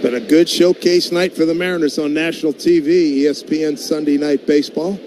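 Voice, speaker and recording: A man doing live baseball play-by-play on radio, speaking in clipped phrases that can rise into excited calls. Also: on the high side, brisk. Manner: clipped phrases, calls rising to excited shouts on big plays. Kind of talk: live sports play-by-play commentary. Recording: noisy live ballpark broadcast.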